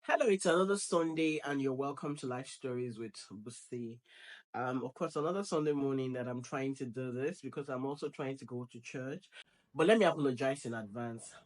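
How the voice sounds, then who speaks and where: A man hosting a story channel, his voice croaky and sniffly from a cold, chatting and apologizing casually. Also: medium pitch, a little fast, reverberant. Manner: chatty, apologetic, rambling asides. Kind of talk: casual chat, reading viewer stories. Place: home, getting ready for church.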